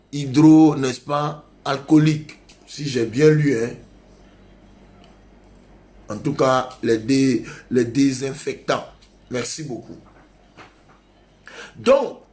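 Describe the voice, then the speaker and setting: A man's voice: fairly high pitch, slow, long pauses. A male pastor preaching a sermon, urging his congregation with emphatic, repetitive exhortations.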